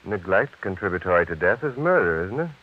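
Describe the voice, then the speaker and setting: An actor playing an earnest, probing young scientist and husband, in 1930s radio drama style. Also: male voice, low-pitched, very animated, brisk. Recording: dull, hissy vintage radio recording.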